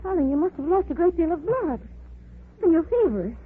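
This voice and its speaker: A woman's voice: very high-pitched, very animated, fairly quick. An actress in her twenties playing an anxious young woman in a 1940s radio drama, talking urgently. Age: 20s. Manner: urgent, pressing. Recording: tinny old radio recording with hiss.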